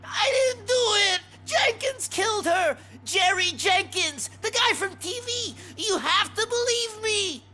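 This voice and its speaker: Cornered suspect in a game, high bright voice, urgent and defensive, slight noise. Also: female voice, animated, measured pace, few pauses, faint music behind, heavily acted. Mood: desperate, tearful. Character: frantic, pleading woman pimp accused of murder. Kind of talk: video game cutscene acting.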